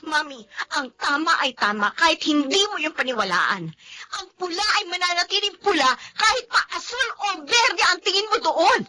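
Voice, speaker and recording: A woman's voice: very high voice, animated, moderate pace, pushed and bright, slight background noise, heavily acted. A woman playing an indignant young daughter confronting her mother in a radio drama. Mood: tearful. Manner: indignant, emotional, tearful.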